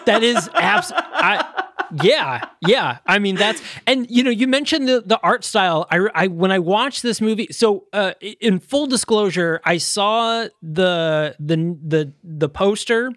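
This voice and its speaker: A fairly high-voiced young man rambles through a casual movie chat, stumbling over restarts and swearing freely. His voice is close and dry, with no room sound. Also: lively intonation, a little slow, a bit bright, dry recording. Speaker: podcast co-host.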